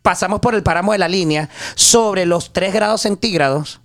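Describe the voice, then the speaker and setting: Venezuelan man, high voice, animated slangy storytelling, lots of restarts, casual chat. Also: normal speed. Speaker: podcast guest, journalist.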